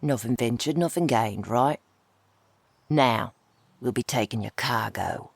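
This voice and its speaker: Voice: mid-range voice, a little slow, creaky, slightly rough, no room sound. A performer acting a brash, mocking bandit in a roleplay audio drama, talking in blunt, slangy taunts. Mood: smug, taunting. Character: brash, mocking bandit robbing a merchant's cargo.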